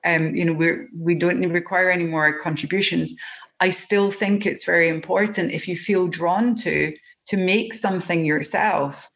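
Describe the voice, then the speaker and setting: A woman's voice: on the low side, normal speed, a bit bright. Scottish woman guest, earnest and heartfelt, lo-fi sound. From Scotland. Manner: earnest, heartfelt, many ums and restarts. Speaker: project organiser guest. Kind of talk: podcast conversation.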